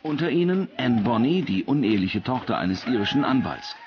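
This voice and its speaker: A man's voice: mid-range voice, very animated, fairly quick, lo-fi sound, a little hiss or hum. A man reading scripted documentary narration as the narrator.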